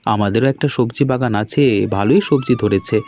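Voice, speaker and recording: A man's voice: mid-range voice, normal speed, a little mellow, lightly acted. A man playing a hospitable, insistent young husband in a cartoon, with a hearty conversational manner. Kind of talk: cartoon dialogue acting. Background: background music.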